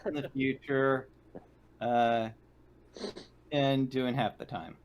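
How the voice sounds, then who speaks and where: A man's voice: medium pitch, steady tone, slow pace, lo-fi sound. A man playing a tabletop roleplaying game, talking casually with the group about his moves.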